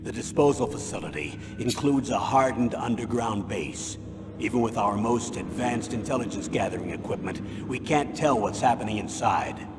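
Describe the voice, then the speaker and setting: A man playing a stern, authoritative older colonel giving a measured mission briefing in a video game. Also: lowish voice, expressive, close to an everyday voice. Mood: businesslike. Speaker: commanding officer in a game cutscene. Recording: reverberant hall, loud background noise.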